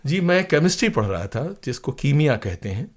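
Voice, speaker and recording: A man's voice: mid-range voice, sing-song, fairly quick. An older man, telling stories conversationally as an interview guest, with digressions and acted-out quotes. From India. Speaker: interview guest, broadcaster and playwright. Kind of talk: conversational anecdotal storytelling.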